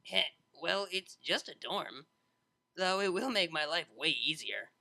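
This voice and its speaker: Woman as young dj pony girl, kinda low bright voice, casual and awkward, audio story. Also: animated, a little slow, creaky, heavily acted. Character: laid-back young unicorn mare DJ, awkward student.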